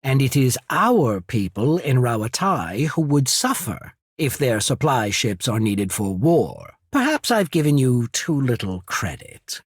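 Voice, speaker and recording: An actor playing a shrewd, courteous naval emissary in a fantasy video game, speaking in a measured, formal, persuasive way. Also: male voice, medium pitch, sing-song, breathy edge, dry recording, clean studio sound. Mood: measured, grave. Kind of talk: scripted fantasy game dialogue.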